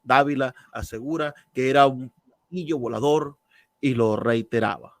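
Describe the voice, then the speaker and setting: A man hosting a radio interview, calmly reading an excerpt aloud and asking questions. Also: medium pitch, normal speed, dry recording. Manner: calm, reads an excerpt then asks questions. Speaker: radio show host, interviewer.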